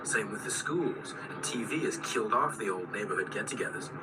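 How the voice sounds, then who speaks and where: An actor playing a wry, sceptical small-town doctor in a radio drama, with a measured, reasoning manner that turns hesitant under pressure. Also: male voice, fairly low pitch, lively intonation, distant mic, very noisy, natural voice. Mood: sober, uneasy.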